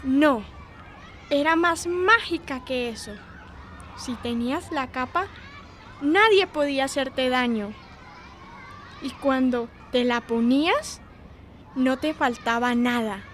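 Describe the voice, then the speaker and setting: A woman's voice: high voice, slow, long pauses, a bit bright, a little hiss or hum. A woman playing an earnest, imaginative little migrant girl telling a tale in a radio drama, repeating words emphatically. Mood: earnest storytelling.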